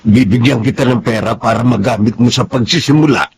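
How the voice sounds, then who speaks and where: A man's voice: mid-range voice, brisk, a little mellow, a little hiss or hum, lightly acted. A man playing a concerned, kindly and decisive husband in a radio melodrama, questioning and emphatic. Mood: surprised, businesslike. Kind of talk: Filipino radio melodrama acting.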